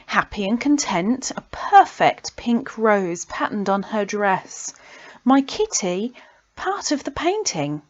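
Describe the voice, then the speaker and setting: A woman reciting her own poems in an expressive, dramatic way, with breathy pauses that dip toward a whisper. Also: medium pitch. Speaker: poet reading own poems. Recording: dull lo-fi home recording.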